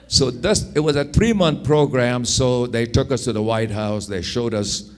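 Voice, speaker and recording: A man in his sixties from Afghanistan with an Afghan accent, telling personal stories in an unhurried, anecdotal way, repeating phrases for emphasis. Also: lowish voice. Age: 60s. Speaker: speaker telling his life story. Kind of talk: personal storytelling.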